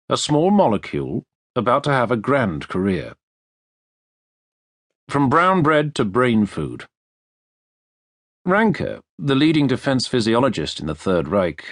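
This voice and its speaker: A man reading a nonfiction history audiobook as a narrator, with measured, deliberate phrasing, close to a studio microphone. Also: mid-range voice, no echo. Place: dry recording studio.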